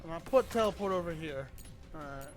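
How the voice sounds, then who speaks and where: High-pitched man doing casual gameplay commentary. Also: expressive, fairly quick. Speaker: game streamer. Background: game audio and music.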